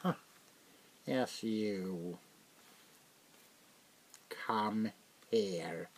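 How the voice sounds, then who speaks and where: A man playing a haughty, centuries-old French vampire prince in a soft-spoken, half-whispered ASMR roleplay, speaking deliberately and with condescension. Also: on the low side, a little hiss or hum. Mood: commanding, dismissive. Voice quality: gravelly, breathy half-whisper. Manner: slow, deliberate, condescending, drawn-out pauses.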